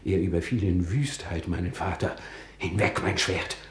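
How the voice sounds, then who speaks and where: An actor playing a bitter, resolute, vengeful prince in a radio tragedy, declaiming his monologue deliberately. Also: sits low, fairly even intonation, reverberant. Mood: vengeful, brooding. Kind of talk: classical stage tragedy radio acting.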